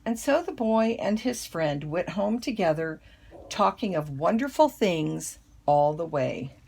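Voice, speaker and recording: Creaky, husky older woman reading a storybook. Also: on the low side, sing-song, a little slow, a little mellow. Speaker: grandmother reading a picture book. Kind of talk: children's storybook read-aloud.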